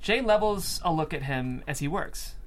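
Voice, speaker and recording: A woman's voice: sits low, lively intonation, moderate pace. A woman reading screenplay stage directions as the narrator of a table read.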